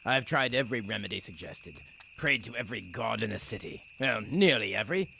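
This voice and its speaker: A man's voice: on the low side, animated, a little fast, gravelly, sharp tone, a little hiss or hum, heavily acted. An actor playing a blunt, earnest Roman prison guard and worried father in an audio drama. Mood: weary, skeptical.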